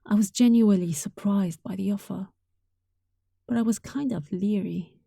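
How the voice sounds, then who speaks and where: A woman's voice: medium pitch, moderate pace, no room sound. A woman playing a sweet, affectionate young catgirl roommate in an intimate roleplay, speaking tenderly and breathily, often close to a whisper. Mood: earnest, wistful. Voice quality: soft, breathy. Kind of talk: intimate roleplay audio, softly acted monologue.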